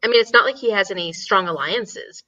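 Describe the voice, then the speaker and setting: A woman talks casually as co-host of a book chat. Her voice is bright and forward, at an everyday pitch, and the audio is slightly dull, like an online call. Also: a little fast.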